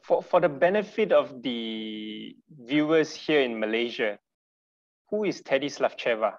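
A man's voice: mid-range voice, expressive, a little slow. A man in his thirties moderating an online webinar interview, polite and restarting often with repeated words. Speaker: moderator, host. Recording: dull distant video call audio.

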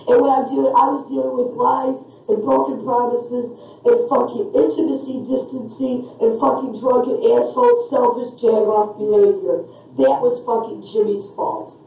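A high-voiced woman vents with defiance and anger, cursing freely as a patient in therapy. The recording is muffled and distant, with heavy room echo. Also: steady tone, moderate pace, creaky. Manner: angry, defiant, profane. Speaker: therapy patient on a session tape. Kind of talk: heated venting in a therapy session. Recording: echoey, distant, muffled session tape.

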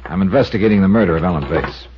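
A man's voice: deep voice, animated, very fast. A man acting a hard-boiled, wry insurance investigator in a 1950s radio detective drama, narrating in clipped, world-weary phrases. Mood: probing. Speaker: lead actor and narrator of the series. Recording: muffled 1950s radio recording.

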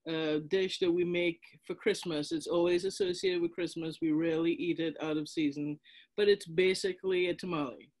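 A woman's voice: lowish voice, fairly even intonation, moderate pace, a little mellow. A woman from Trinidad with a Trinidadian accent, speaking casually on a video call panel about her family's dishes. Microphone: video call, camera off.

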